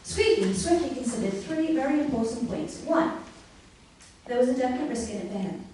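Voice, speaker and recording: A woman performing a scripted comic story live on stage, with a deliberate mock bedtime-story delivery. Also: high-pitched, animated, dark tone. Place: live comedy venue, indoors. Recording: very echoey room, distant mic, faint noise.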